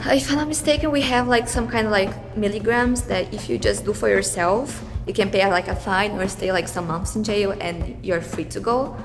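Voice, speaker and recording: A woman's voice: medium pitch, normal speed, few pauses. Young woman, guest, casual, filler-heavy, reverb, music bed. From Brazil. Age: 20s. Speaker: interview guest.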